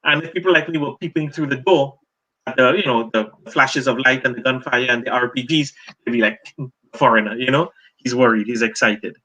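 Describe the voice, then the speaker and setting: Bright-voiced Trinidadian man telling stories. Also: mid-range voice, brisk, husky, low-quality recording. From Trinidad and Tobago. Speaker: guest, journalist and author. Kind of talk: relaxed interview answers.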